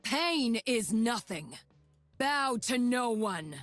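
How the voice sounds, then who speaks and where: A woman's voice: fairly high pitch, lively intonation, drawn-out words, a bit bright, no echo, faint music behind, full character voice. A woman playing a fierce, proud warrior leader in a video game, stern and commanding. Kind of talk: video game character voice lines.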